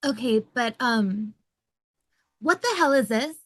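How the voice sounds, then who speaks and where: A woman's voice: on the high side, lively intonation, takes it slowly, fairly bright tone, no room sound, clean studio sound, full character voice. A woman reading the part of an anxious, incredulous man demanding explanations at a table read. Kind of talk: amateur script table read.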